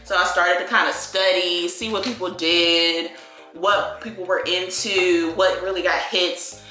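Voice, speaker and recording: A woman's voice: lowish voice, steady tone, unhurried, bright, forceful tone. A woman in her twenties from Virginia, chatting casually as a beauty vlogger and talking about her life with lots of likes and y'alls. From Virginia, USA. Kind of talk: casual get-ready-with-me chat. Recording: echoey room with faint music.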